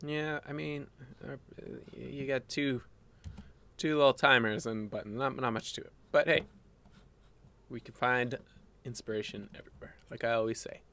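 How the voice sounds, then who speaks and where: Guy a bit phlegmy thinking out loud over his design work, lots of ums, mid voice. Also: medium pitch, steady tone, normal speed. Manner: rambling, musing aloud with fillers and restarts. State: a little phlegmy. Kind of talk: casual think-aloud design stream.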